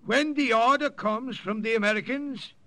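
High-voiced, forceful missionary priest. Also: male voice, normal speed. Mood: urgent, commanding. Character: priest leading native jungle warriors, firm, fatherly. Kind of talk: 1940s-50s radio acting.

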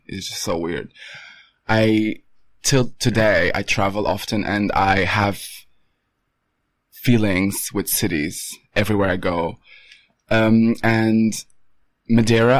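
A young man from Portugal, a photographer, talking casually as an interview guest with many pauses and restarts. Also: on the low side, no echo.

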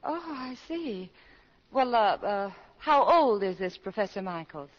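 A woman playing a gentle, flustered well-to-do mother in her thirties in 1940s radio comedy, hesitant, fond and mildly scolding. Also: mid-range voice, very animated, measured pace. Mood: puzzled concern. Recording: dull old 1940s radio broadcast.

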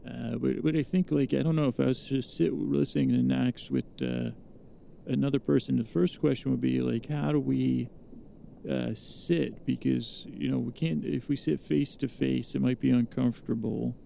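Gravelly, halting rambling man. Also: mid-range voice, steady tone, dark tone, slight background noise. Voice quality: creaky, gravelly. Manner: meandering, halting, trails off mid-thought. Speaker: podcast host and storyteller. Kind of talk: rambling, improvised bedtime-story monologue.

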